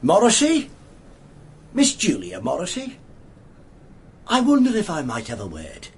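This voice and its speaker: An actor playing a wry, bookish, world-weary detective inspector in a radio drama, with a dry, pompous turn of phrase. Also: male voice, high-pitched, huge pitch swings, measured pace, husky, full character voice. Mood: courteous, probing. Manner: dry, pompous, quote-laden turns of phrase.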